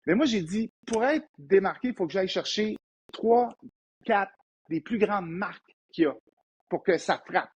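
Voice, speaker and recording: A man in his fifties with a Québécois accent, an entrepreneur guest telling lively anecdotes in a casual interview. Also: sits high, normal speed, highs cut off, a little hiss or hum. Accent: Québécois French. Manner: animated anecdotal storyteller, frequent restarts.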